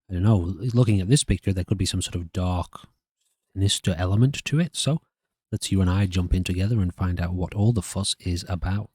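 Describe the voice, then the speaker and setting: A man's voice: fairly low pitch, warm tone, dry recording, studio quality. A man hosting a gaming channel, giving casual, chatty let's-play commentary. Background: guitar music.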